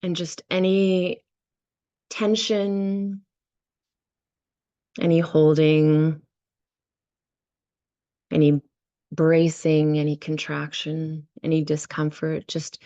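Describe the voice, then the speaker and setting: Low, mellow-voiced woman guiding a meditation. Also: little pitch movement, dry recording, highs cut off. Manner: gentle, unhurried, with many pauses between short phrases. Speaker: guided meditation facilitator. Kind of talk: guided visualization and meditation. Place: online video session.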